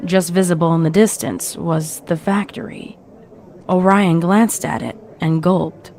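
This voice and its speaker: A woman's voice: fairly low pitch, steady tone, unhurried, darkish tone. A woman reading scripted narration of a fan-written horror story.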